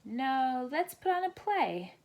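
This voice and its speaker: Children's storybook part: woman as a competitive little girl, outspoken, raised pitch. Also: very animated, slow pace, a little mellow, exaggerated voice.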